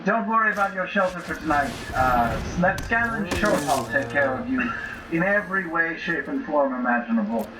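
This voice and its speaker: High-voiced man playing a tabletop game. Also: animated, normal speed. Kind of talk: improvised in-character tabletop play. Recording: roomy distant mics with noisy background audio.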